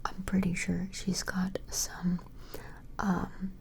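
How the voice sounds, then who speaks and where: Slow, gently whispering ASMR woman. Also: lowish voice, monotone. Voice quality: breathy whisper. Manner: gentle, reassuring, hesitant. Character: attentive vampire shopkeeper measuring a creature customer. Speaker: ASMR role-play performer. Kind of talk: ASMR personal attention role-play. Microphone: close-up microphone.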